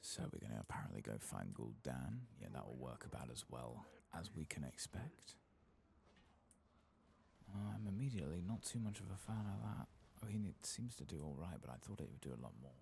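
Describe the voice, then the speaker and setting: Very deep, creaky soft-spoken man. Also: a little hiss or hum. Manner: soft-spoken, murmuring, casual. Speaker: ASMR gaming streamer. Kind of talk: soft-spoken and whispered ASMR game commentary.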